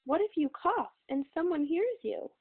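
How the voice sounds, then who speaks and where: A woman's voice: extremely high pitch, lively intonation, moderate pace, voice pushed up. Woman reading as a worried male friend, tinny. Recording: tinny phone-like recording.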